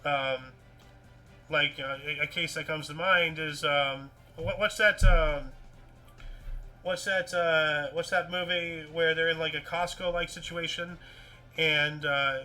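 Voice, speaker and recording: A man with a high, very sharp and forceful voice hosts a casual news chat. He moves between reading stories aloud and rambling commentary, often circling back and repeating words in an animated way. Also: takes it slowly, faint music behind. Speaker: host of a weird-news talk show.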